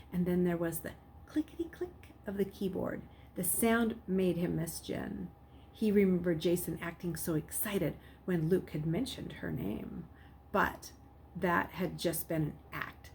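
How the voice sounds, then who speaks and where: A woman narrating a novel aloud in an expressive, dramatic storybook style. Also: fairly low pitch. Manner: dramatic, acts out the characters. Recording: clearly noisy background.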